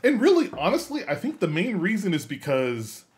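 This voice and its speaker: A man's voice: sits high, wildly swooping pitch, moderate pace. A man co-hosting a podcast in casual discussion, emphatic, often repeating and rephrasing his points to drive them home. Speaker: podcast co-host. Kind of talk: casual discussion of films and games.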